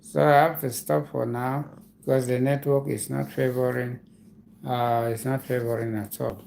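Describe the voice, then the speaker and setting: A man with a Nigerian accent hosting a comedy livestream, talking casually and explaining things slowly, repeating himself. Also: medium pitch, flat delivery, vocal fry, a little mellow, a little hiss or hum. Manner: slow, repetitive, lecturing explanations.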